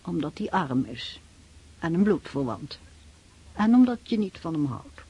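An actress playing a loving but practical middle-aged countess and mother in a radio drama, gently admonishing in a husky voice. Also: low voice, sing-song, a little slow, exaggerated voice. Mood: gently stern.

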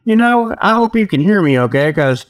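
A man with a slightly high voice hosts a casual, humorous show. He rambles in a folksy, joking way and keeps getting sidetracked. He is speaking outdoors straight into a tablet resting on his leg. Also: very animated, normal speed. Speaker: host of a comedic report show. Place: outdoors, sitting by a river. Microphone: tablet's built-in mic, resting on leg.

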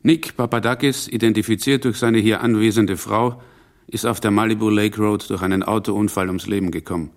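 Stern, low-voiced judge played by a man. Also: steady tone, a little fast, close to an everyday voice. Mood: official, solemn. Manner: formal, probing, official. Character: stern, formal male courtroom judge. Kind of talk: German radio drama courtroom scene.

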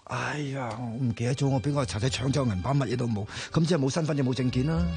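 A man's voice: medium pitch, fast, darkish tone, soft background music, close to an everyday voice. A man acting an impatient, argumentative hospital patient in a Hong Kong Cantonese radio drama. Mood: exasperated. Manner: blunt, argumentative. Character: impatient, exasperated male hospital patient insisting on his identity.

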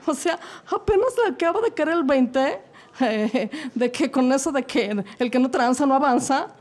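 A woman's voice: sits high, expressive, moderate pace, a little mellow. A woman with a Mexico City accent playing a snobbish, mocking young woman who drawls and drops English words, giggling as she talks. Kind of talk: comedic radio play acting.

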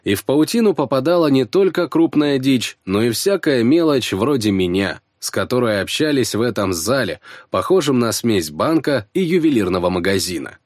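A man narrating first-person fiction as an audiobook reader, in an expressive storytelling manner. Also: mid-range voice, moderate pace, hardly any pauses, no echo, clean studio sound.

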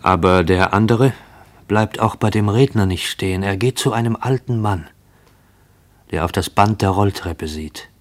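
An actor narrating a sombre radio play in a measured, literary way. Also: male voice, on the low side, close to an everyday voice. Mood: measured, watchful. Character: observant male narrator of a sombre story.

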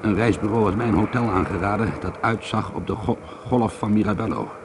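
A man's voice: lowish voice, brisk, close to an everyday voice. An actor playing a troubled, bitter schoolteacher and painter in a radio drama, hesitant and confiding, repeating himself as he broods. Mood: reflective narrating. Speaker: lead actor and first-person narrator. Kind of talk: radio drama monologue and dialogue. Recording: noisy old radio play recording.

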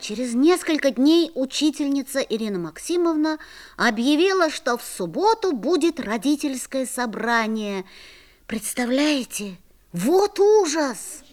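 A woman playing an excitable, mischievous schoolboy who tells his own adventure expressively in a children's audio play. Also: high voice, unhurried, soft background music. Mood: dismayed, indignant.

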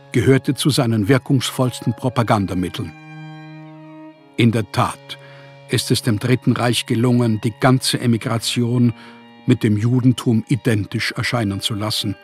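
A man's voice: medium pitch, breathy edge, lightly acted. An actor playing a despairing, exhausted writer in exile, reading his letters in a radio play with weary, heavy diction. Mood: bitter, pointed.

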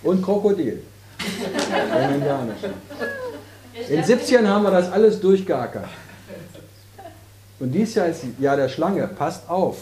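A man's voice: on the high side, expressive, measured pace, long pauses, crowd noise. A man in his fifties, a spiritual teacher in a casual, joking talk with his audience, teasing and rambling between jokes and explanations. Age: 50s. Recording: roomy hall with audience.

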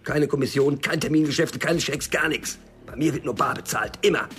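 An actor playing a stubborn, wary small-time drug dealer and loner in a radio crime drama, curt, dry and cynical. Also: male voice, a little high, a little fast, fairly bright tone, faint music behind, close to an everyday voice. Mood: defiant.

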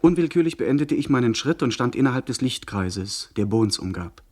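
An actor in a radio play narrating as a young gentleman adventurer in a measured, literary way. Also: mid-range voice, animated, close to an everyday voice. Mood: measured, reflective. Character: young gentleman adventurer recounting his own tale.

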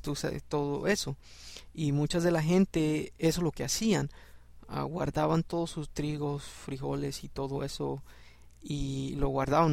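A man with a Salvadoran accent leads a relaxed Bible study in a gravelly, somewhat high voice. He keeps it conversational and acts out the people in the story. The recording is close and dry, with no room sound. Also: no room sound. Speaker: Bible teacher. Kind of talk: informal Bible study teaching.

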